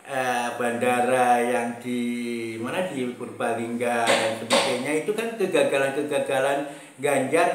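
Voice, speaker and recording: Slow, hesitant man in a very echoey room. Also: medium pitch, a bit bright. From Brebes, Central Java. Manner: slow, hesitant, many fillers and repetitions, chuckles often. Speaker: political commentator. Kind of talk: casual political monologue.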